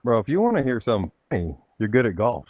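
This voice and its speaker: A man's voice: mid-range voice, big pitch swings, quick pace. A man in casual golf banter with friends, teasing and ribbing them.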